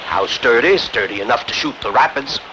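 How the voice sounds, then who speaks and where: A man's voice: medium pitch, expressive, a little fast, lightly acted. A man playing a wary, plain-spoken adviser in a dubbed epic film, blunt in manner, on a very noisy soundtrack. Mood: wary, helpful.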